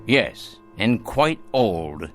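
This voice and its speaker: Rich dying grandpa in a radio play, slow and sarcastic, voice swings up and down. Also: male voice, on the low side, very animated, faint music behind, full character voice. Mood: dry, scornful. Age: older. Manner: sardonic, theatrical, deliberate. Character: dying, caustic rich old grandfather, mocking his family. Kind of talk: radio drama acting.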